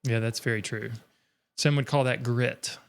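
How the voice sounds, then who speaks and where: An earnest man teaching art on a live stream, with a middle-pitched voice. He talks in long, reflective runs, encouraging adult beginners and reading out viewer comments. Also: steady tone, measured pace, creaky.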